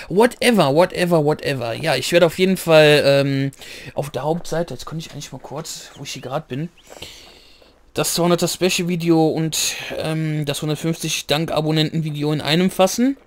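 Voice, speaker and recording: A man with a slightly hoarse voice giving rambling, chatty commentary while playing a game, clearing his throat now and then. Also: a little high. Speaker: let's play gamer. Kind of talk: casual gameplay commentary.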